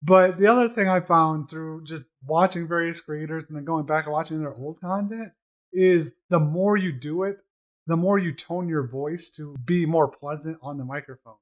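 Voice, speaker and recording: A man's voice: fairly high pitch, normal speed. A man co-hosting a casual podcast chat, explaining things at length in an easy, conversational way. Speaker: podcast co-host.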